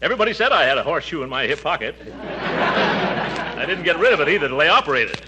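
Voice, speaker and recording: A blustery middle-aged uncle of old radio comedy, pitched on the high side with wide swoops. He is pompous, stammers and hedges like an old army braggart. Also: sing-song, fast, very noisy. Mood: flustered, boastful. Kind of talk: 1940s radio comedy acting.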